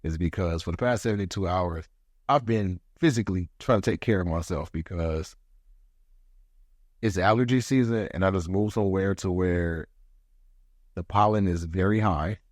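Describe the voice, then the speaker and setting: Man, 30s, very low voice, allergy-congested, reflective, pausing, dry close sound. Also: a little mellow, no room sound. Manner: reflective, pauses to gather thoughts. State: congested from allergies, voice deeper than usual. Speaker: podcast host. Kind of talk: casual solo podcast monologue.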